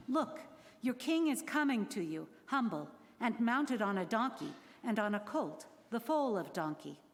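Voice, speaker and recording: A woman with a fairly high voice narrates scripture in a reverberant church. Her delivery is that of a scripted dramatic reading. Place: large church during a service. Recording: echoey church hall.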